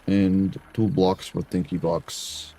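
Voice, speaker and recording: A man's voice: low-pitched, level tone, takes it slowly, gravelly, dark tone. Mock-accented man streaming a game. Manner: talks to chat in a mock Eastern European dictator voice, dropping articles. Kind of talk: casual gameplay commentary.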